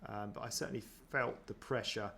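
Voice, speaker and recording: A man's voice: lowish voice, fairly even intonation, a little fast, sharp tone, a little hiss or hum. A man chatting casually as he reacts to footage of himself, self-deprecating, with many ums and restarts. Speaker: running vlogger.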